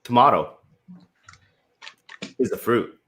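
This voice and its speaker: An American man hosting a party pitch game on a video call, teasing and mock-stern with his questions. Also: expressive, slow, fairly bright tone. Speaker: game host.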